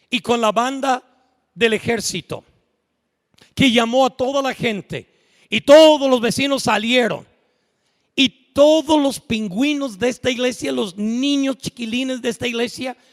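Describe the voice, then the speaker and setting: A preacher with a high, bright voice delivers an emphatic sermon, swinging from hushed lines up to full shouts. The sound rings out with the long reverb of a church sanctuary. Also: male voice. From United States. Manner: emphatic, rising to shouts at peaks.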